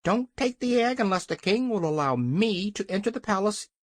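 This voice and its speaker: A narrator voicing a proud, indignant yellow hen in a storybook, with a shrill, cackling voice. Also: lively intonation, normal speed, no echo, studio quality, close to an everyday voice. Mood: insistent.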